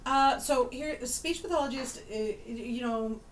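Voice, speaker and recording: A woman's voice: high-pitched, normal speed. A Canadian woman, a guest who is a speech pathologist and singer, chatting casually with a bubbly, talkative manner and frequent laughs. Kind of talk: casual chat. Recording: echoey room, mic at a distance, faint hiss.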